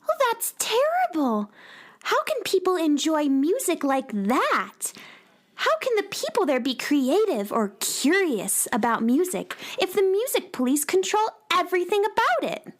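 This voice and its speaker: A woman's voice: very high voice, big pitch swings, unhurried, full character voice. A woman playing a wide-eyed, kind-hearted young space adventurer in a children's audio drama, earnest and wondering. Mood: dismayed, puzzled.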